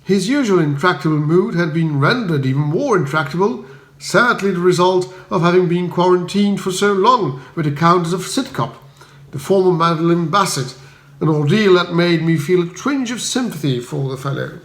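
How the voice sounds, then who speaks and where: A man with a fairly high voice narrates a comic audiobook. His delivery is measured and wry with a mock-grand air, recorded in an echoey room. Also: lively intonation. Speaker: audiobook reader. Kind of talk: comic first-person scripted narration. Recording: roomy echo.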